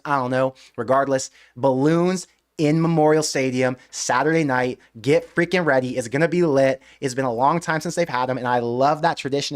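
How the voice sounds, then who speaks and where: A man hosting a live stream, chatting casually in a rambling, excitable way with mild swearing. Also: mid-range voice. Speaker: live-stream host of a fan channel.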